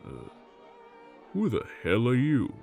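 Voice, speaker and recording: A man playing a brash, combative loudmouth with a defiant drawl in an audio drama. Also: mid-range voice, very animated, slow, faint music behind. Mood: groggy, confused. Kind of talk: audio roleplay drama acting.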